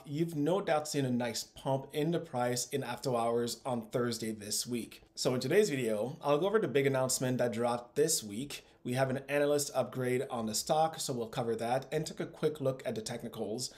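Man, thirties, investing video host, mid-pitched, scripted commentary, upbeat, emphatic. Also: normal speed, few pauses, a little mellow. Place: small room at home, indoors.

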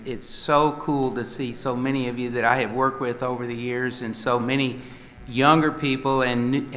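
A man's voice: mid-range voice, a bit bright, a little hiss or hum, rough recording. An older man on a conference panel, telling folksy anecdotes and acting out the people he quotes, at a table mic in a hotel conference room. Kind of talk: storytelling on a panel.

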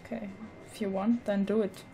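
A woman hosting a casual let's play, commenting in a hesitant, low-key way. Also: flat delivery, brisk, warm tone, slight background noise. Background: video game ambience.

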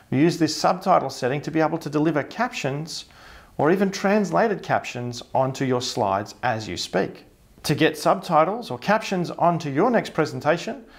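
A man in his thirties with a broad Australian accent presents a tech tutorial to camera. His voice sits a touch higher than most men's, and his delivery stays upbeat and explanatory. He sounds like he is reading from a script in an indoor studio. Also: vocal fry. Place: indoor studio with a blurred office backdrop.

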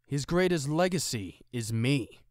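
A man's voice: medium pitch, expressive, a little slow, no echo, lightly acted. Man as an earnest, proud young monk, dry sound. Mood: proud resolve. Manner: earnest, formal, confident. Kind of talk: fan impression of video game fighter.

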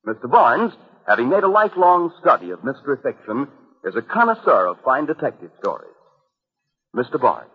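A man's voice: medium pitch, big pitch swings, fairly quick, husky. A man reading a scripted sponsor introduction in 1940s radio announcer style. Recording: muffled lo-fi old radio.

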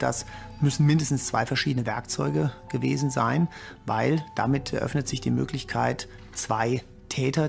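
A man's voice: medium pitch, creaky. A man explaining things as a forensic expert in an interview.

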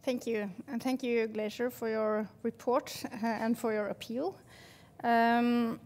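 Brief: middle-aged woman panelist, highish voice, slow and hesitant, seated on a dark stage. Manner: hesitant, many fillers.

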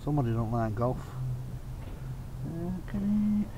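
A man's voice: very animated, unhurried, highs cut off. A man in his forties with a Liverpool accent, talking casually to the camera as he magnet fishes on a canal towpath, often muttering to himself. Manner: muttering low and offhand to himself. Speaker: magnet fishing vlogger. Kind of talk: casual running commentary. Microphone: handheld camera or chest camera. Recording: outdoor wind and background noise.

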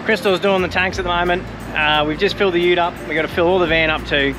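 A man's voice: high-pitched, fairly even intonation, fast, music in the background, rough recording. Laid-back Australian man vlogging outdoors. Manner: laid-back, rambling, practical. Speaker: travel vlogger. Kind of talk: casual vlog narration. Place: outdoors by a highway and camp.

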